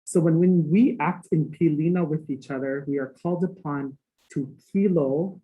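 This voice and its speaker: A man's voice: a little high, fairly even intonation, normal speed, phone-quality sound. A man from Hawaii giving an earnest academic talk as guest lecturer, with rhythmic phrasing and playful asides.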